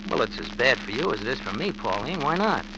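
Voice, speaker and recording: An actor playing a weary, regretful young American husband in a 1940s radio drama, on an old noisy recording. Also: male voice, mid-range voice, sing-song, fast talker, highs cut off. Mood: weary, pleading.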